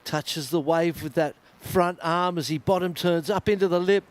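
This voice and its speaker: A man's voice: on the high side, normal speed. A man calling a surfing contest live, chatty and reflective between the waves. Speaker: surf contest commentator. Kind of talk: live sports commentary.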